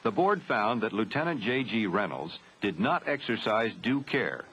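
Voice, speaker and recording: An American man delivers grave, formal narration in a measured way, with an expressive range of pitch. The sound is a dull 1970s film soundtrack with faint noise behind it. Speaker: documentary narrator. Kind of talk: scripted narration of a 1970s military training film.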